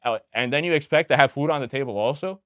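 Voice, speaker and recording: A man's voice: medium pitch, quick pace, dry recording. A young man with a Romanian accent, a guest candidate talking opinionatedly in a casual interview, with many restarts. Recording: dull lo-fi sound.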